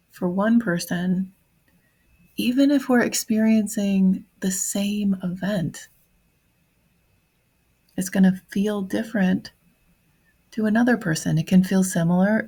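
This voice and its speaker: A woman guides a reflective meditation at a very leisurely pace. Her voice is soft and husky, often sinking into creak. She leaves long pauses between gentle, short phrases. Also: medium pitch, steady tone, poor audio.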